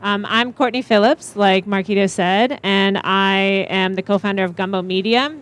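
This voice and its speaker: A young woman speaking as a panelist, introducing herself in a thoughtful, measured way with frequent fillers. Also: medium pitch, fairly even intonation, clear, resonant tone. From Chicago area. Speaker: panelist, media co-founder.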